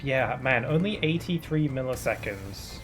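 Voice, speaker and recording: A man streaming a game, chatting casually and thinking aloud, a bit sniffly from hay fever, with bursts of excitement. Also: medium pitch, unhurried, faint music behind. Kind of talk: casual gaming commentary.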